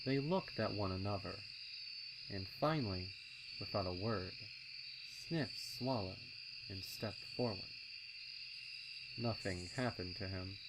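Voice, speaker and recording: A man's voice: lowish voice, slow pace, long pauses, heavy background noise. A man reading a scripted story as narrator. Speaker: narrator reading fan fiction. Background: crickets, insects, owl sound effects.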